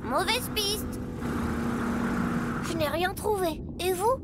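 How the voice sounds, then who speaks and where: A woman voicing excitable, curious young child mini-trucks in a children's cartoon, exclamatory and wide-eyed. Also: extremely high pitch, slow, heavy background noise. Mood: eager searching.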